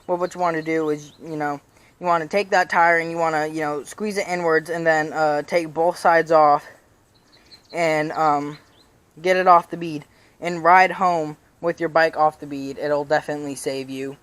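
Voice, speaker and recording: A young man talks through bike tips in a loose, rambling way, stacking fillers and restarting his sentences. His voice sits a little high and is slightly raspy, and he sounds casual. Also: flat delivery, normal speed, a bit bright. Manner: rambling, full of "you know" fillers and restarts. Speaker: BMX vlogger. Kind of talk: casual how-to vlog. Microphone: chest-mounted action camera.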